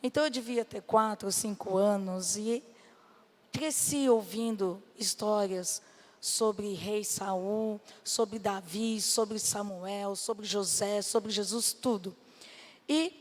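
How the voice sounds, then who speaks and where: A woman with a Brazilian Portuguese accent and a slightly hoarse voice, giving a personal testimony to a church congregation as a guest missionary. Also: mid-range voice, fairly even intonation, takes it slowly. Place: church hall. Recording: reverberant hall sound through PA.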